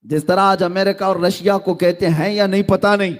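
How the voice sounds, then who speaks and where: A middle-aged man preaching a sermon, telling a story with dramatic swings between quiet lines and loud exclamations. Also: sits high, fairly even intonation.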